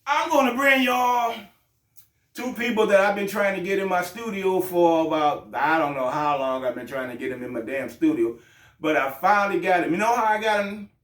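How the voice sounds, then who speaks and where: A man's voice: sits high, lively intonation, moderate pace, a bit bright, reverberant, distant mic. A man hosting his own show in a loose, joking, profane way, with frequent restarts. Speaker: host. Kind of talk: casual show talk.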